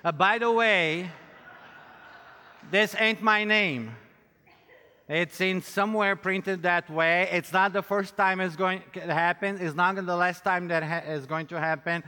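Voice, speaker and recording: Male preacher, middle-aged, Brazilian accent, native Portuguese speaker. Clear voice, unhurried delivery, playful and direct with the audience, reverberant hall. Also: high voice, fairly even intonation, sharp tone. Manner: joking, self-deprecating, addresses listeners directly. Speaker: pastor and conference speaker. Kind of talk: conference sermon with audience interaction.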